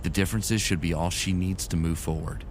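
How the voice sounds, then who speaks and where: A man's voice: low-pitched, steady tone, brisk, background noise, lightly acted. A man playing a weary, remorseful futuristic soldier in an earnest, brooding way, narrating and speaking in a sci-fi audio drama. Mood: businesslike.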